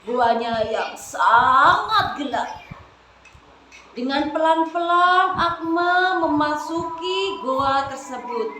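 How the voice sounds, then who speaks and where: Slow, expressive woman storyteller. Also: high-pitched. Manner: addresses listeners as friends, expressive. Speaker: storyteller for children. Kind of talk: children's storytelling. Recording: echoey room with clear noise.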